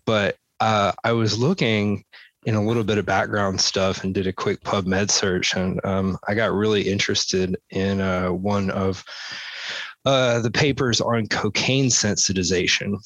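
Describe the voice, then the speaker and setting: A man co-hosting a podcast, talking in a matter-of-fact, slightly halting way. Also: on the low side, steady tone, fairly bright tone, no room sound, poor audio. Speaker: podcast co-host. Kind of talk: casual chat.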